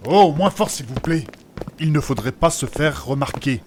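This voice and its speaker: A man's voice: a little high, lively intonation, moderate pace, a little hiss or hum, close to an everyday voice. A man playing a prim, polite, pious adventurer who is easily flustered, formal and fussy, in a comic fantasy audio drama. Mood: earnest.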